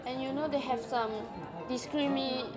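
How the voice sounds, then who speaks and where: A woman with a Malaysian Chinese accent, her first language Chinese, speaking halting English in a casual interview and searching for words. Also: high voice, fairly even intonation, over a noisy crowd. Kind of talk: casual conversation. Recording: loud music behind the talk.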